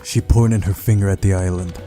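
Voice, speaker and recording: A man's voice: low voice, steady tone, a little fast, warm tone. A man hosting a visual novel playthrough, reading the story aloud with casual, playful asides over game music. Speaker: video game playthrough host.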